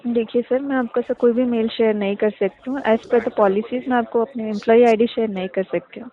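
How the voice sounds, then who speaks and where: A woman's voice: on the high side, steady tone, brisk. An Indian-accented woman working as a customer care agent on a phone call, polite and procedural, mixing in English phrases. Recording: tinny narrowband phone line.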